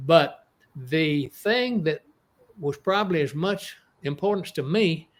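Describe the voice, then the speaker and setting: A man's voice: on the high side, animated, slow. A man in his eighties with an East Texas accent, an author telling stories in an interview, rambling with many restarts. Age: 80s. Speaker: author guest. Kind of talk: casual interview storytelling.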